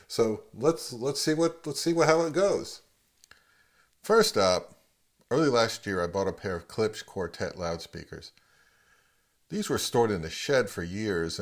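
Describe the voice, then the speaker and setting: A man hosting a video, explaining things casually in an unhurried, self-deprecating way. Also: fairly low pitch. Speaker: video host.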